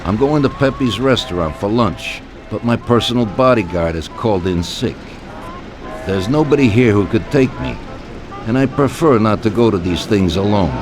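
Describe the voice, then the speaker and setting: An actor as a courteous, commanding mafia Don. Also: male voice, on the low side, lively intonation, background noise, natural voice. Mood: genial authority. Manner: courteous, unhurried, quietly authoritative. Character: genteel, commanding older male mafia Don. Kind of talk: video game cutscene acting.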